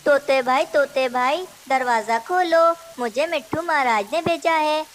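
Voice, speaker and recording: A sly, lazy cartoon crow acted by a woman speaks in a high voice. He is a brazen male schemer, always out to trick the other birds. A faint hiss sits behind the voice. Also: measured pace. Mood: deceitful urging. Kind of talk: Hindi children's cartoon dialogue.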